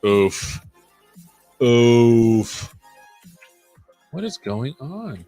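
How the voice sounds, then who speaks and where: A man hosts a developer livestream, working through code live and talking it out as he goes. His voice sits at an ordinary middle pitch, and he often drops to a mutter when talking to himself. Soft music plays faintly behind him. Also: big pitch swings, very slow. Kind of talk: live coding, thinking aloud.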